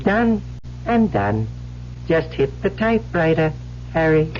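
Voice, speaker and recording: An evil machine spirit in old radio horror, slow smug threats, highish voice, muffled sound. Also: male voice, sing-song, a little mellow, a little hiss or hum. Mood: coolly commanding. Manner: deliberate, smug, menacing. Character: malignant machine spirit, cold, commanding, menacing male. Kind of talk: vintage radio drama acting.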